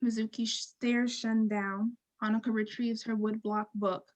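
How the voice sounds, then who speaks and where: A woman narrating stage directions from a script in a measured, neutral, descriptive way. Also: a little high, flat delivery.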